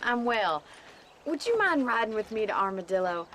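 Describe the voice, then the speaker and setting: A spirited young frontier woman with an American accent, speaks with a very animated, swooping delivery. She is forthright and playfully teasing, in the manner of a western video game cutscene, with outdoor ambience behind her. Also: on the high side, normal speed, a little hiss or hum. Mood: chatty.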